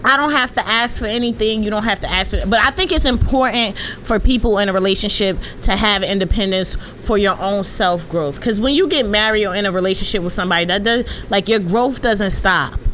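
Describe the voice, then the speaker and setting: A woman's voice: mid-range voice, brisk, few pauses, a bit bright, slight background noise. A woman in her late twenties talking candidly and emphatically as a podcast co-host in casual conversation. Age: late 20s. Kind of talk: candid casual panel chat.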